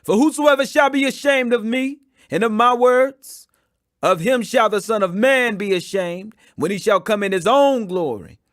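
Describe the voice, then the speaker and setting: A man reading scripture aloud, emphatic and rising in pitch on quoted speech. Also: fairly bright tone, no room sound, studio quality. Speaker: Bible reader.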